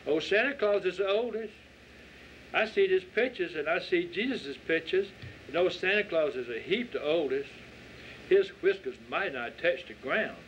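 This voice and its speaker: A boastful little country boy from the South, put on by a man, pitched up and stubbornly insistent. He argues in a folksy storybook manner on a dull recording. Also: lively intonation, normal speed. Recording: dull lo-fi audio with faint noise.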